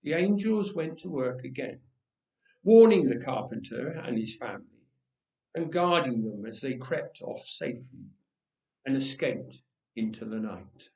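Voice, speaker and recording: A man telling a story as an expressive narrator, with measured, dramatic phrasing. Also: medium pitch, creaky. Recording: roomy echoing voice recording.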